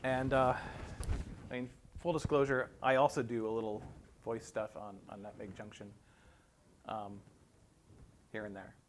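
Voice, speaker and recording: A man hosting a talk show in casual, informal chat, somewhat halting. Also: lowish voice, little pitch movement. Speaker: podcast host. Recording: faint background noise.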